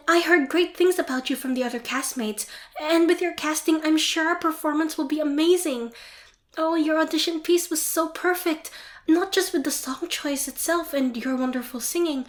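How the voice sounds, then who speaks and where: A young woman playing a shy, nervous, excitable theatre student in an ASMR roleplay, hesitant, flustered and apologetic. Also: high-pitched, moderate pace, natural voice. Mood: excited, flustered. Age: young adult. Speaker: amateur voice actor.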